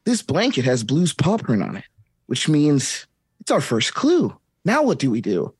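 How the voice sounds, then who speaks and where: A woman with a low, husky voice gives a bouncy, upbeat children's host read. Her pitch swings widely, and the sound is close and dry. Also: wildly swooping pitch, unhurried, a little mellow, no room sound. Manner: chipper, upbeat kids' show host delivery. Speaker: cast member reading the host part. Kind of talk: comedic table read of a kids' show script.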